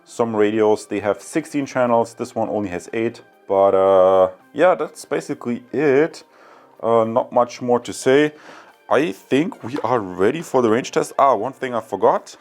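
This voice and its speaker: A man's voice: medium pitch, animated, measured pace, a little mellow, soft background music. A man hosting a casual, unscripted product review, talking informally and directly to his viewers. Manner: informal, addresses viewers as guys.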